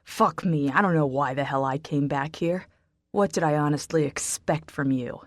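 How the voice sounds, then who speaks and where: A woman's voice: lowish voice, normal speed, no echo, clean studio sound, lightly acted. A woman narrator voicing a bitter, wounded, hot-tempered man in an audiobook, with intense delivery. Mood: icy, spiteful.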